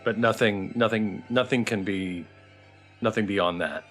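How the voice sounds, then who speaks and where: A man with a fairly low voice moderates a casual interview. He comes across as enthusiastic and appreciative, asking and explaining informally. Faint music plays behind him. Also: measured pace, a bit bright. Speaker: host of developer Q&A stream.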